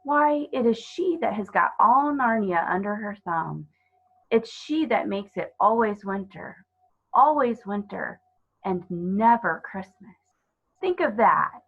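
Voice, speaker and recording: A woman's voice: mid-range voice, expressive, takes it slowly, breathy edge, lo-fi sound, exaggerated voice. A woman voicing a timid, guilt-ridden male faun in a children's storybook, tearful, pleading and melodramatic. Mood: ashamed, gloomy.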